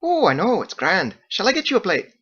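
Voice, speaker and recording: A man voicing a lively, delighted woman innkeeper in an audiobook story, with folksy, exclamatory chatter. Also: sits high, a little fast, breathy edge, lo-fi sound, voice pushed up, heavily acted. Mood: bright, welcoming.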